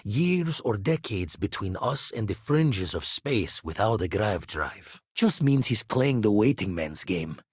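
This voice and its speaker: An actor playing an older retired space pirate turned astronomer in a video game, wry and reflective, with clipped, folksy phrasing and a husky voice. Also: male voice, on the low side, very animated, unhurried, few pauses, dry recording, clean studio sound, full character voice. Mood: wry, matter-of-fact. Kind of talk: video game character voice acting.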